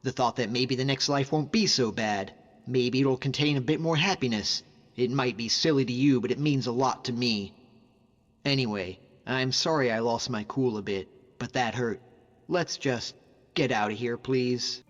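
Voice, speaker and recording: A man plays a young Nordic hunter from a fantasy video game, loyal and devout. He talks in an earnest, informal way at a medium pitch. The sound is slightly muffled, with a long reverb and music behind him. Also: normal speed, close to an everyday voice. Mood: hurt, subdued. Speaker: video game follower character. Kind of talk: conversational game character voice acting.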